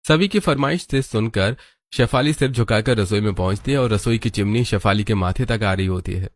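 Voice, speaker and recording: Low-voiced man narrating a story. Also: brisk.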